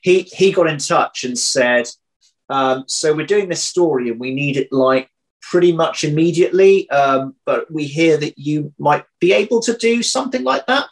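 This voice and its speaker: A man in his thirties chatting casually as an interview guest over a video call, often pausing, repeating words and correcting himself as he thinks. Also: a little high, vocal fry, rough recording. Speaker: interview guest, audio drama writer. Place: indoor room at home. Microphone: video call, computer mic.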